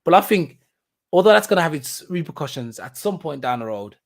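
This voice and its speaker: A man's voice: a little high, sing-song, fairly quick, vocal fry. A man in his thirties hosting a podcast, chatting casually with lots of ums, repeated words and restarts. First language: not German. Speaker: podcast host. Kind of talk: casual solo catch-up chat.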